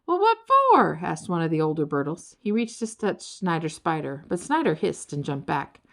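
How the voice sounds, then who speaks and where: A woman narrates a children's audiobook in an expressive storytelling style. The voice is on the low side for a woman, and the intonation is very lively. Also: moderate pace.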